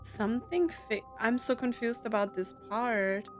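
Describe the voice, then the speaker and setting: A woman's voice: fairly high pitch, unhurried. A woman streamer casually reacting to a game, emotional and hesitant as she speaks. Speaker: gaming streamer. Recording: loud game music behind the voice.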